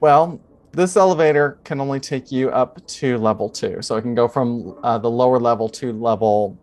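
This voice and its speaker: A man working as game master, narrating a tabletop adventure in a measured, descriptive, improvised way. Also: mid-range voice, darkish tone.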